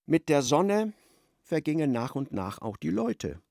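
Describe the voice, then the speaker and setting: A man narrating a radio play in an expressive, literary storytelling style. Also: on the high side, unhurried, no room sound, clean studio sound.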